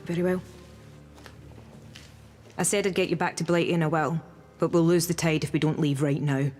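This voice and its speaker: A woman playing a brisk, dependable wartime boat smuggler in a video game. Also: low voice, steady tone, darkish tone, soft background music, natural voice. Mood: firm, resigned.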